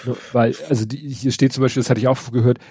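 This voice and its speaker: Ein Mann mit Schnupfen, der in lockerem Plauderton und mit trockenem Sarkasmus über Neuigkeiten spricht, oft mit Füllwörtern. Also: male voice, mid-range voice, steady tone, fast, mellow, husky, dry recording, clean studio sound. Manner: wry, sarcastic, hesitant with many fillers. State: stuffy nose from a cold. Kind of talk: casual news chat.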